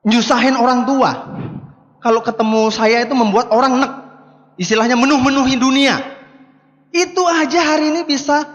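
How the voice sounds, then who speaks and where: High-voiced motivational speaker, echoing hall. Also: male voice, steady tone, normal speed, long pauses, fairly bright tone, dull audio. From Bali, Indonesia. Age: 50s. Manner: conversational, rhetorical questions to the listeners. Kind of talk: motivational talk to an audience. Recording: echoing hall, long reverb.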